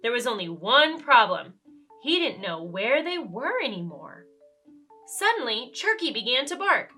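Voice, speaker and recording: A woman reading a children's storybook aloud in an expressive way, speaking directly to young listeners. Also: on the high side, unhurried, faint music behind. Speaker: children's read-aloud host. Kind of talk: animated children's storybook narration.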